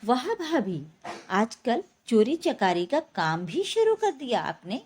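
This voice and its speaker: Woman playing an arrogant, high-voiced young sister-in-law. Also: sing-song, slow, darkish tone, slight background noise. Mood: sarcastic accusation. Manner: sarcastic, accusing. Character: arrogant, hot-tempered young sister-in-law accusing others.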